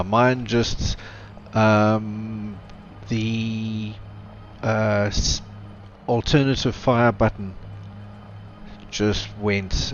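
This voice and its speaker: A man with a South African accent, chatting casually while streaming a game, rambling and correcting himself as he goes. His mic sounds dull and lo-fi, with faint hiss. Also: on the low side. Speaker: streamer host. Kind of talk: casual gaming chat.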